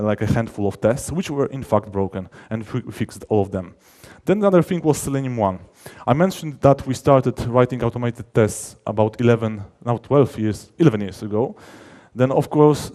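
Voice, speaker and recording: A male conference speaker from his language and a relaxed, mellow timbre at an ordinary male pitch. He explains technical matters informally, leaning on key words for emphasis. His sentences often halt and restart as he rephrases. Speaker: conference speaker, software developer.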